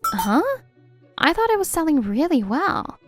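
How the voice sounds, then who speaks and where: Woman acting gentle, high-voiced young employee. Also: sing-song, unhurried. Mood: curious, sincere. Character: sincere, gentle young female restaurant employee. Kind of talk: manga voice acting. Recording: music behind the voice.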